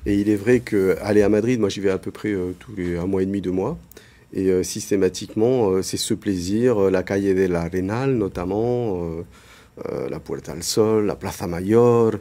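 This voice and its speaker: Mellow low man, enthusiastic guest. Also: moderate pace. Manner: enthusiastic, with many fillers. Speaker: panel guest. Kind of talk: studio panel discussion.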